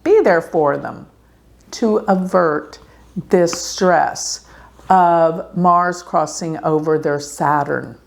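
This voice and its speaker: Slow, gravelly-voiced woman astrologer. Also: lowish voice. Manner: slow, earnest, warning tone with throat clearing. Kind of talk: casual talk to camera.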